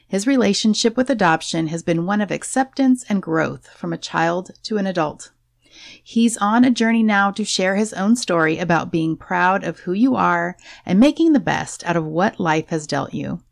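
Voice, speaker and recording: A woman's voice: medium pitch, creaky, darkish tone, no room sound, clean studio sound. A woman hosting her podcast, reading a friendly scripted introduction and then asking interview questions. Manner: friendly, scripted introductions, brief encouraging replies. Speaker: podcast host.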